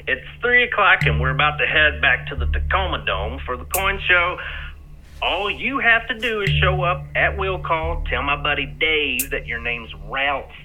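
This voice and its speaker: A chatty, folksy buddy rambles through an audio drama voicemail. His voice is very bright and swings about in pitch. He comes across as goofy and easygoing. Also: animated, a little slow, soft background music, full character voice, rough recording. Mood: breezy, chatty.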